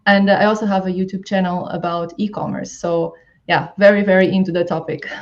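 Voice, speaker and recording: A woman's voice: fairly low pitch, steady tone, fairly quick. A woman from Portugal, an online seller joining as a guest, talking in an upbeat, casual way with some fillers. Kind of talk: casual self-introduction. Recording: dull lo-fi call audio.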